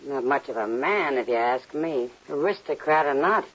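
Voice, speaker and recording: A woman's voice: a bass voice, lively intonation, normal speed. An actress playing a worldly, protective, plain-spoken saloon woman in an old western radio drama. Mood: blunt. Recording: dull vintage radio recording.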